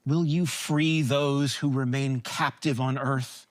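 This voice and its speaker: A woman playing a self-important, easily flustered starship captain in a live sci-fi parody, with a halting, melodramatic delivery. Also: extremely low pitch, fairly even intonation. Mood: sheepish, pleading. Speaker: actor in a live stage audio drama.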